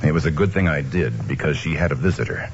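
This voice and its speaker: A man's voice: extremely low pitch, expressive, quick pace, gravelly, heavily acted. A man playing a kindly, determined young newspaperman in an old-time radio drama, acting and narrating in a matter-of-fact, clipped way. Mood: brisk narration. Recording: dull, noisy old radio recording.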